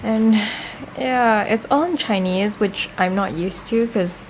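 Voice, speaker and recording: A young woman vlogging in a relaxed, chatty way, explaining things step by step from her desk at home. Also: medium pitch, slow, a little hiss or hum, poor audio. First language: English. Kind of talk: casual vlog narration. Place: small room at home, at a desk. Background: background music.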